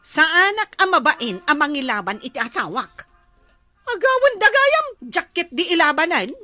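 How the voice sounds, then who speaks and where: A woman's voice: extremely high pitch, big pitch swings, normal speed, pushed and bright, full character voice. A woman with an Ilocano accent playing a jealous, suspicious, hot-headed wife in a comedy drama, scolding and exclaiming. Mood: furious.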